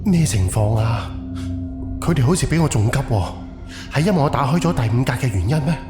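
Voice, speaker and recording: A man's voice: mid-range voice, animated, fairly quick, natural voice. A man playing a wary, analytical haunted-house investigator thinking aloud in an audio drama, with a musing, questioning delivery. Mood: tense, questioning. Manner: musing, questioning, muttered self-talk. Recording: echoey reverb, music underneath. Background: background music.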